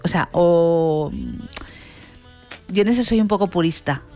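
A woman on a casting panel, giving frank opinions in a measured way, with hesitant fillers. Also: on the low side, dark tone, soft background music. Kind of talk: casual panel chat.

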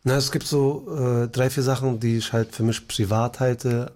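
A man in his thirties from Berlin talks casually as an interview guest. His voice sits at an ordinary middle pitch. He answers in a relaxed way, with plenty of hesitations and quick laughs. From Berlin, Germany. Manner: laid-back, laughs easily, many fillers. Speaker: comedian guest.

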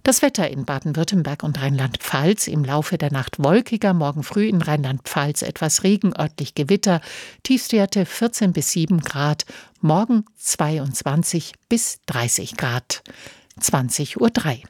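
A woman with a fairly low voice reads the radio news in a measured, neutral way. The recording is dry and close, with no room sound. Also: expressive, a little mellow, no room sound. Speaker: newsreader. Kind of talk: scripted radio news reading.

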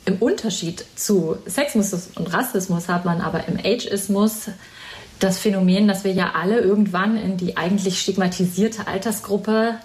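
A woman's voice: medium pitch, moderate pace, a little mellow. A woman in her forties explaining as an academic expert in an interview, fluent and precise. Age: 40s. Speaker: interviewee, professor.

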